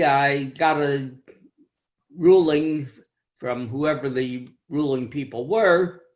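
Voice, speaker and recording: An older man with a high-pitched voice tells a wry, self-deprecating story about his own life in a club speech over a video call. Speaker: club speaker.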